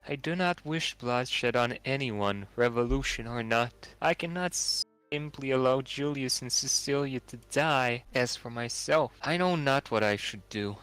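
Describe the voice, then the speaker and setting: A man's voice: medium pitch, measured pace, fairly bright tone, smooth, dry recording, lightly acted. A man voicing an earnest young noble knight in a stage play, with formal, archaic and declamatory delivery. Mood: conflicted. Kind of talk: theatrical dramatic voice acting over a game.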